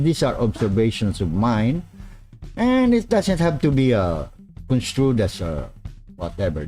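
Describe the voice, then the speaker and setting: A man's voice: mid-range voice, a little mellow. Rambling Filipino man on a livestream. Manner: rambling, many filler pauses. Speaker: livestream host. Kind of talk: casual livestream commentary.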